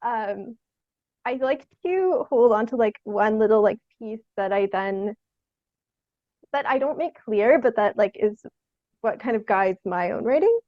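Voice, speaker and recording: A young woman from Canada answering interview questions casually, in a tentative, halting, giggly way. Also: fairly high pitch, expressive, no room sound. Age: young adult. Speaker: guest, theatre artist. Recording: dry, dull lo-fi sound.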